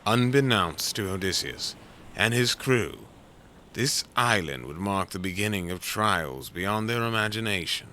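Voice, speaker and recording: Male narrator, lowish voice, solemn, portentous, dramatic scripted delivery, loud ocean effects behind. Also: lively intonation, unhurried, slight background noise.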